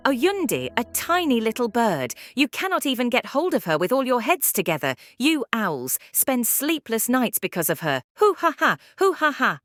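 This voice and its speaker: A woman's voice: on the high side, very animated, normal speed, few pauses, fairly bright tone, no room sound, clean studio sound. Woman doing a defiant mocking lady bird in an audio play, declamatory and taunting, bit of music behind. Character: defiant, mocking female bird, disillusioned former propagandist.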